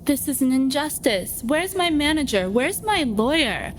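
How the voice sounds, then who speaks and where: A high female voice of an actress plays a perfectionist prima ballerina. She is indignant and theatrical, stumbling and repeating herself as in a radio play. There is light noise behind her. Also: big pitch swings. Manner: halting, repetitive, theatrical. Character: indignant, perfectionist star ballet dancer woman, proud and flustered.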